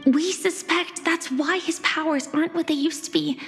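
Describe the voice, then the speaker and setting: A sweetly high-pitched girl from a game, talks politely and earnestly. Her gentle manner has a quiet resolve, and her words ring in long reverb over music. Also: steady tone, moderate pace. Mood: sincere, resolute. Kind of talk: video game character dialogue.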